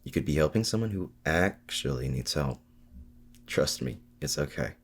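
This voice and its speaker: Very deep, gravelly male villain, acted by a man. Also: lively intonation, moderate pace, exaggerated voice. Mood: guarded, evasive. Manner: evasive, brusque. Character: guarded male villain confiding in his hero rival. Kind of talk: ASMR roleplay audio drama.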